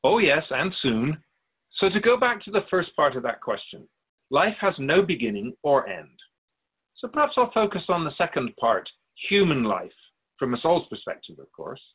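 A man playing a wise, patient otherworldly spirit in a radio drama, explaining things in a measured, gently pedantic way with a husky voice. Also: a little high, big pitch swings, narrow, tinny audio. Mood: patient, knowing. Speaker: spirit being interviewed. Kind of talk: scripted radio drama dialogue.

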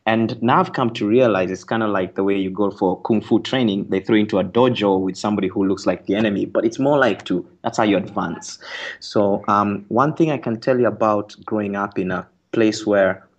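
A man from Kenya with a fairly low voice talks through his ideas as an interview guest. He explains things thoughtfully, pausing on fillers and restarting his sentences. His voice comes through a dull, lo-fi line. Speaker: author guest. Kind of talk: radio interview conversation.

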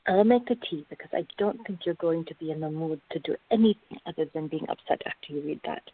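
A woman playing a patient, sensible, gently firm woman in a radio drama. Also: low voice, fast talker. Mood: patient, coaxing. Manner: calm, reasoning, gently firm. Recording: muffled, phone-like narrow-band audio.

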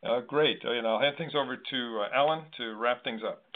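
A man hosting a conversational talk show, with halting, hesitant phrasing. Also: mid-range voice. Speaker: host. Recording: thin phone-line sound.

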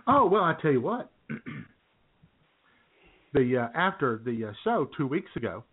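A man's voice: fairly high pitch, very animated, unhurried, slightly rough. A middle-aged man, co-hosting a radio comedy show and telling rambling stories in casual banter. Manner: chatty, rambling storyteller with chuckles. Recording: tinny phone-line sound.

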